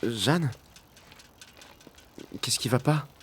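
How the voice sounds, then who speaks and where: An actor playing a gentle, caring teenage boy in an audio drama, soft and reassuring in manner. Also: mid-range voice, lively intonation, extremely slow, slightly rough, full character voice. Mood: concerned.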